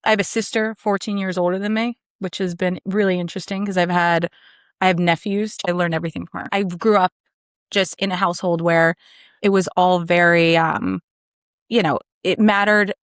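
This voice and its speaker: A woman's voice: fairly low pitch, fairly even intonation, normal speed, dry recording, studio quality. A woman in her thirties from Maryland, a podcast guest telling her story in a confident, chatty way. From Maryland, USA. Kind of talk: casual conversation.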